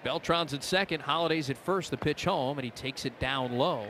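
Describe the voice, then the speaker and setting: A man's voice: a little high, normal speed, slight background noise. An American man calling baseball play-by-play on the radio, his voice rising on big plays. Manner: excitable, voice rises on big plays. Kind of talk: live baseball radio commentary.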